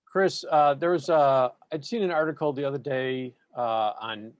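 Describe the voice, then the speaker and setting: A man's voice: medium pitch, moderate pace, no room sound. Male attendee on a video call, dry sound, rambles through an anecdote to get to his question. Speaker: webinar attendee asking a question. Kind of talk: question from the audience.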